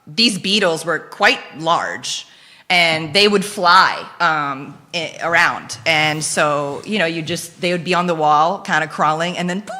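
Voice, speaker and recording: A low-voiced young woman from the Philippines, Dutch her first language, tells her story casually, with plenty of fillers and quick laughs. Her tone is bright and forceful. A long reverb hangs around her voice. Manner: chatty, laughs often, lots of fillers. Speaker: guest sharing her testimony. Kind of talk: casual conversational testimony.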